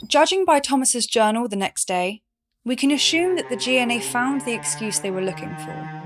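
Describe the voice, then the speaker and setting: Actress as higher-voiced woman historian, music behind. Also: animated, moderate pace, lightly acted. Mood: measured, explanatory. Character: measured female historian recounting a future war. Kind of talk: scripted documentary-style audio drama narration.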